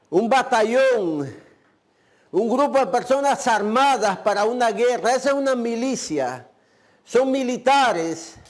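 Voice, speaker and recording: A man preaching a sermon as pastor, delivering slow, emphatic phrases and often saying "este" between them. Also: very high-pitched. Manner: uses the filler "este".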